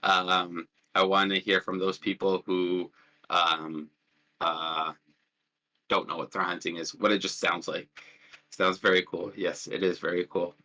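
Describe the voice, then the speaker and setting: A man's voice: sits low, little pitch movement, a little slow, bright, forceful tone. A man in his twenties presenting an informal online workshop in a casual, self-deprecating and hesitant way over a call. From born in Jordan, lived in Palestine as a child. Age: 20s. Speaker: workshop presenter, student and threat hunter. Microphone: online call with push-to-talk.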